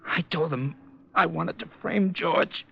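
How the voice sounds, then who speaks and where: A man playing a cornered embezzler and killer in a 1940s radio drama, tense and halting. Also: a little high, vocal fry, slightly rough, exaggerated voice. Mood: defeated, confessing. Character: cornered embezzler and killer, married male businessman, nervous. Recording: muffled old radio recording, faint music.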